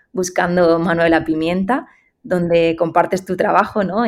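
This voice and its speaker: A woman's voice: on the low side, a little mellow. A woman hosting a podcast interview, speaking in a thoughtful way with many fillers. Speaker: host, hospital pharmacist. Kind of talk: interview conversation.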